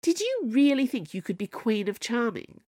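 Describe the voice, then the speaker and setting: A woman reading the part of a proud, hot-tempered young princess who speaks high and indignant. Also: big pitch swings, normal speed, a little mellow, no echo, clean studio sound. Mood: accusing.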